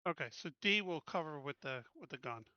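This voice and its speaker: A man's voice: fairly high pitch, animated, a little fast, bright, forceful tone, dry recording, lo-fi sound. A man playing a tabletop game, chatting casually and asking blunt, informal questions. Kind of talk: casual chat.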